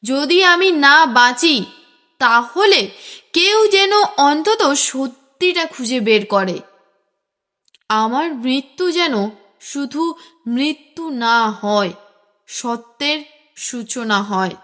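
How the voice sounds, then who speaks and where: A woman's voice: sits high, expressive, slow, pauses often, pushed and bright, big-room sound, heavily acted. A woman voicing a wronged, sorrowful young woman's diary in a solemn, plaintive audio-story reading. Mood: solemn, resolute.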